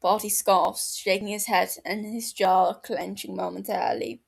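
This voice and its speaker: A woman narrates a piece of fan fiction for an audiobook. Her voice sits at a middling pitch and is noticeably creaky, with fry running through the scripted reading. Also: measured pace.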